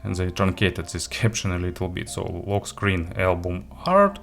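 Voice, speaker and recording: A man who speaks English as a second language, hosting a casual, unscripted product walkthrough in a halting way, correcting himself as he goes. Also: deep voice, vocal fry, a bit bright. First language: not English. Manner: halting, hesitant delivery with self-corrections and listed items. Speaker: tech reviewer and host.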